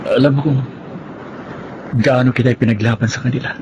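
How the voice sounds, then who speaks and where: An actor with a Filipino accent playing a torn young lover in a radio drama, earnest and heavy-hearted. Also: male voice, mid-range voice, steady tone, brisk, natural voice. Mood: pained. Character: Arman, torn, heartbroken young man in love. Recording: dull radio audio, clear background noise.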